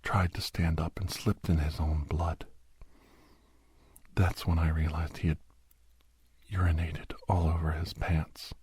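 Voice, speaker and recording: A man playing a grim doctor recounting a bloody werewolf rescue in a hushed, breathy, halting ASMR storytelling style. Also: a bass voice, little pitch movement, no echo, full character voice. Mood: grim, awed. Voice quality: breathy, husky near-whisper. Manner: hushed, halting, dramatic delivery. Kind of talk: whispered ASMR horror storytelling.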